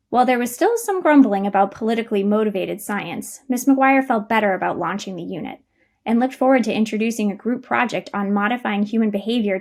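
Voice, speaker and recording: A woman's voice: medium pitch, a little mellow. A woman reading scripted narration as the storyteller of a case study.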